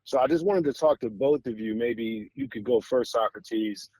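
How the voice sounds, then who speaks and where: A man's voice: mid-range voice, expressive, moderate pace, warm tone, no room sound. An American man hosting a podcast interview in a casual, conversational style, with meandering and admiring questions. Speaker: podcast host interviewing guests.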